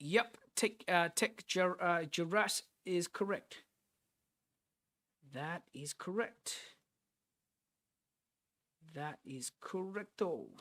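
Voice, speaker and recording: A man's voice: high voice, drawn-out words, pauses often, sharp tone. A young man from Nepal, hosting a live trading stream and talking through his trades while answering chat, often repeating and restarting phrases as he thinks aloud.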